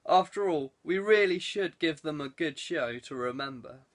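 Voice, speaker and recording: A man's voice: fairly high pitch, expressive, normal speed, natural voice. A man voicing a jaunty, playfully posh ex-cadet saboteur with breezy banter in an audiobook. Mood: gleeful.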